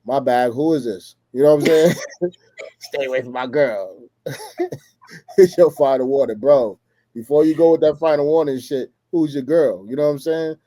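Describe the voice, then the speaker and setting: A man's voice: mid-range voice, normal speed, mellow, dry recording. An American man co-hosting a casual relationship talk, telling stories in loose slang with a joking, boastful swagger and repeated check-in phrases. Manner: slangy, jokingly boastful, frequent "you know what I'm saying". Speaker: podcast co-host. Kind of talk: casual relationship chat with anecdotes.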